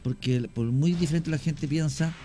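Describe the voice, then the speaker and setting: Mellow-voiced middle-aged Chilean man. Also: on the high side, moderate pace. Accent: Chilean Spanish. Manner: rambling, self-correcting, explanatory. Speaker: guest, longtime cemetery worker. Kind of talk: radio interview storytelling.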